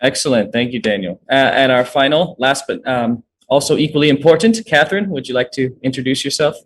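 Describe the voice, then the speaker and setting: A man moderates an online panel, reading a scripted opening before he prompts each guest in turn. His voice has a husky edge and sits at a middle pitch. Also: a little fast.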